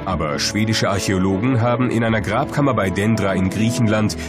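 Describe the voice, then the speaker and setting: A man's voice: fairly low pitch, fairly quick. A man reading scripted documentary narration as the narrator of the programme. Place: studio voice-over. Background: prominent background music.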